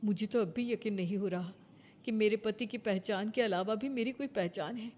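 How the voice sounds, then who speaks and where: A woman's voice: medium pitch, normal speed, a little mellow, a little hiss or hum, natural voice. A woman voicing an earnest village housewife, full of wonder at her independence, in a cartoon moral story. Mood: amazed, hopeful.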